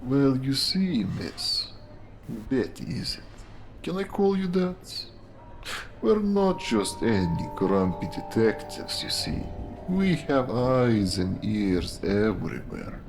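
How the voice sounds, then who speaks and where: An actor playing a grim, insinuating police detective in a noir audio drama, with a deliberate, sly, theatrical delivery. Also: male voice, mid-range voice, big pitch swings, gravelly, exaggerated voice. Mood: sly, menacing. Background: music.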